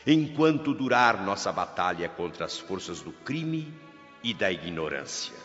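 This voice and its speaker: An actor playing a noble, wise elderly spirit governor in a radio drama, speaking in a solemn, measured, commanding oratory. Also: male voice, mid-range voice, fairly bright tone. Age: older. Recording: dull band-limited audio, long hall reverb, music.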